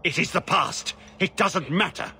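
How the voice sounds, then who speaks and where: Haunted, gravelly old warden. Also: male voice, high voice, bright, forceful tone, full character voice. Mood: defensive. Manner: halting, cryptic, urgent. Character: weary, haunted old former warden commander. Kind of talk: fantasy video game acting.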